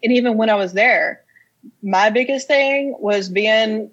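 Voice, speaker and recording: A woman's voice: on the high side, unhurried. A young woman from Kentucky with a Kentucky accent, telling stories casually and plainly as an interview guest, on slightly lo-fi audio. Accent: American, Kentucky. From Casey County, Kentucky, United States. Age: young adult. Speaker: interview guest, whiskey industry professional. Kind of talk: casual conversational storytelling.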